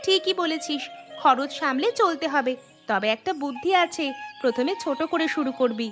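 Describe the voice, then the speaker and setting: A woman's voice: high-pitched, animated, normal speed, a bit bright, faint music behind. A woman voicing an encouraging cartoon village woman, persuasive and reassuring in her dialogue. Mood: confident, friendly. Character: encouraging village woman planning a fish-fry business.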